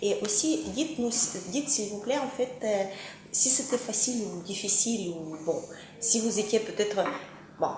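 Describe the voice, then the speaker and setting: A woman's voice: medium pitch, moderate pace, roomy sound, background noise. A woman acting as a clinic coordinator who interviews a couple, speaking in a hesitant way with many fillers.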